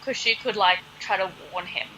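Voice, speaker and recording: A woman's voice: medium pitch, normal speed, sharp tone, a little hiss or hum, rough recording. A woman co-hosting a podcast in casual chat, with an informal, sardonic way of talking. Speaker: podcast co-host.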